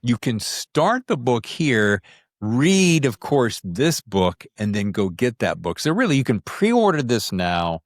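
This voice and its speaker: A man's voice: medium pitch, sing-song, takes it slowly, no echo, studio quality. A man hosting a podcast, talking in a chatty, informal way as he interviews a guest about books. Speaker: podcast host. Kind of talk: casual conversational book interview.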